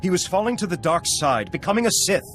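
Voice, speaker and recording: A man's voice: sits high, sing-song, fast talker, a bit bright, soft background music. An actor playing an earnest, agitated Jedi in a video game, accusing and dramatic in his delivery. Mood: grave, ominous. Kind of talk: cinematic video game acting.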